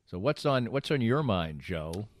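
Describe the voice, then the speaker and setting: Deep-voiced middle-aged American man, wry podcast host. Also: sing-song, unhurried, no room sound. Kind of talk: casual banter over beers.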